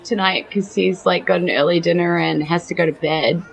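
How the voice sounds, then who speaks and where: A woman's voice: lowish voice, steady tone, normal speed, creaky, low-quality recording. A streetwise child urchin, acted by a woman in a tabletop game. The kid is cheeky and blunt, with an offhand manner. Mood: offhand, defensive.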